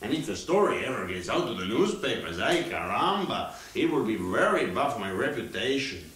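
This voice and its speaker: An actor playing a self-pitying, whining dictator general with a put-on mock Spanish accent in a radio play. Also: male voice, mid-range voice, big pitch swings, normal speed, a bit bright. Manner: whining, theatrical sighs. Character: whiny self-pitying dictator general, middle-aged man. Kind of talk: 1980s radio play acting. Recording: roomy, distant studio sound.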